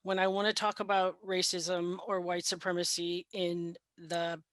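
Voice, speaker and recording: A woman's voice: fairly low pitch, flat delivery, a little slow, a bit bright, no room sound. A woman of Mexican heritage who trains health workers, speaking candidly and conversationally on a panel over a video call. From Mexican heritage, raised in the US. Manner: candid, conversational, laughs lightly. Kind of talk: panel discussion.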